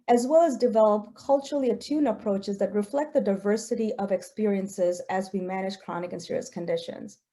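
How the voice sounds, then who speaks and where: A woman researcher in her forties, whose first language is Gujarati, gives an earnest presentation. Her voice is mellow and warm, at a middle pitch. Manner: earnest, personal, with occasional fillers. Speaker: researcher giving a talk. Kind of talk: presentation talk with slides.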